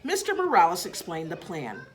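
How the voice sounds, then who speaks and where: A woman reading a children's story aloud as a teacher, in an expressive narrating style. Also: on the low side, normal speed.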